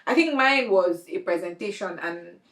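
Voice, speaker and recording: A woman hosting a podcast interview, chatty and quick to laugh, using slang. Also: lowish voice, animated, fairly bright tone, echoey room. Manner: chatty, laughs often, uses Nigerian slang. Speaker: podcast host. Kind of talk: casual interview chat.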